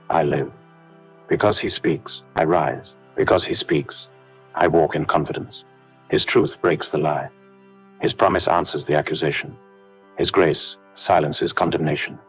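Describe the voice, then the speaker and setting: A man's voice: low-pitched, husky. A man leading a scripted warfare prayer in solemn, emphatic, repeated declarations. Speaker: prayer leader. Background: faint background music.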